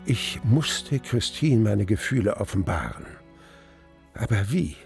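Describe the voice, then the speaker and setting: An actor playing a reflective older man narrating his memories in a radio drama, literary, deliberate and gravelly. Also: fairly low pitch, very animated, darkish tone, soft background music, exaggerated voice. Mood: tense, hopeful.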